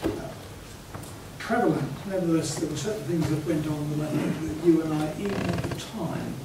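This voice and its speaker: Academic guy making points, slightly higher voice, echoey hall, mic far off. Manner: measured, scholarly, clears his throat. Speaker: panellist. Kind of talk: academic round-table discussion. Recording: echoey hall, distant mic, background noise.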